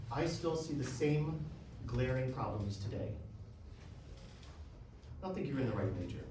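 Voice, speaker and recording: A man playing a stern, demanding music professor, lecturing curtly in a staged reading of a musical. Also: on the high side. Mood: disapproving. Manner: lecturing, curt, exacting. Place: echoey room, staged reading. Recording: very echoey, distant, noisy room.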